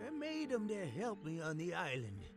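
A man's voice: high-pitched, huge pitch swings, moderate pace, full character voice. A man playing an eccentric, forgetful old tinkerer in a video game, rambling and cantankerous in a folksy way. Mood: folksy bragging. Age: older. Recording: music under the voice.

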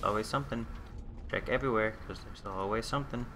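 A man's voice: fairly low pitch, fast, noisy background. Exasperated man commenting on a game. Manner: exasperated, swears at the game and talks to enemies. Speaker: let's play commentator. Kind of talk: gameplay commentary. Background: game music and sound effects.

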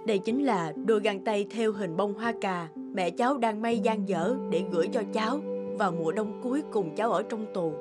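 A woman's voice: mid-range voice, normal speed, natural voice. An actress playing a concerned village market woman in a cartoon, earnest and folksy. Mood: sad, explaining. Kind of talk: cartoon dubbing. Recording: clear music under the voice.

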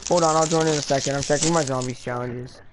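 A woman's voice: very deep voice, steady tone, fast. A young woman streaming a zombie game, chatting with friends in a voice party and giving them orders. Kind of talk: casual gaming party chat. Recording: clear background noise with clicks.

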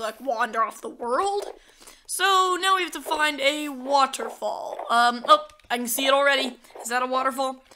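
A woman talking casually while playing a game, chatty and easily excited, with a sore throat that makes her voice crack. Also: high voice, a little hiss or hum. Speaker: gamer. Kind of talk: casual gameplay commentary.

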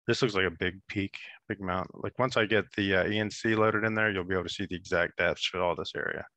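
Man in his thirties, low bright voice, dry headset sound, casual filler-heavy explainer on a video call. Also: fast talker, no echo. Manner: relaxed, explanatory, many fillers. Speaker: app demonstrator. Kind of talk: screen-share software demo.

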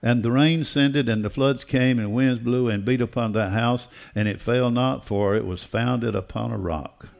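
An older man preaching a sermon in an emphatic, deliberate way, repeating phrases for weight. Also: mid-range voice, creaky. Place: church auditorium stage. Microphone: headset.

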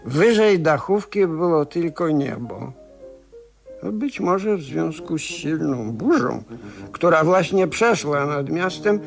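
An actor playing a pedantic, earnest expert in a 1970s radio play, speaking in a formal, carefully hesitant way. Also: male voice, on the high side, lively intonation, takes it slowly, vocal fry, faint music behind, heavily acted. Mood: grave, official. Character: pedantic, earnest male expert reporting strange events gravely.

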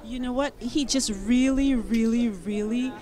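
A woman's voice: on the high side, steady tone, measured pace. A young woman hosting a red carpet interview, playful and gushing, speaking into a handheld mic outdoors. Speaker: entertainment interviewer. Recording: outdoor event with crowd noise. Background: crowd chatter.

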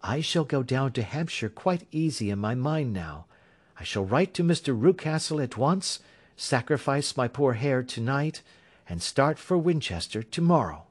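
A man's voice: medium pitch, big pitch swings, normal speed, voice pushed up. A man reading aloud, voicing an earnest, polite young woman in a storybook reading. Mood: relieved. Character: anxious young woman seeking help, then resolute.

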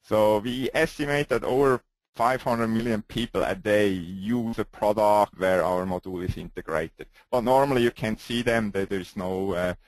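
A man with a strong Swiss accent presenting a company webinar, pausing often with "uh" fillers. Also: fairly low pitch, no room sound, low-quality recording. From Switzerland. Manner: hesitant, frequent "uh" fillers, non-native phrasing.

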